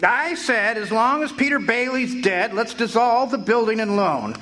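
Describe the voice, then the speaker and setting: A man's voice: extremely high pitch, expressive, normal speed, sharp tone, a little hiss or hum, heavily acted, poor audio. A man playing a harsh old miser banker. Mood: scornful. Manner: harsh, dismissive. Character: cold, greedy old male banker. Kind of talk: live amateur radio-play acting.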